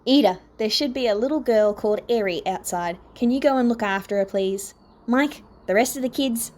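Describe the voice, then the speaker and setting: A snappish male teacher of thirty, performed by a woman reading aloud. He sounds gruff and commanding, with terse lines suited to a dramatic fan fiction story. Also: a little high, normal speed, a little mellow, natural voice. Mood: brisk, commanding.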